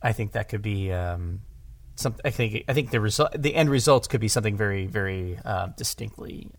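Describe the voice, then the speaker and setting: A man talking casually as a podcast guest about his craft as a director, halting and animated as he thinks aloud. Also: on the low side, no echo. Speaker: audio drama director, interview guest.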